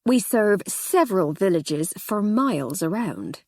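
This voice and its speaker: A woman voicing a proud, sleepy young male village constable with a folksy, excitable manner. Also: mid-range voice, unhurried, dry recording.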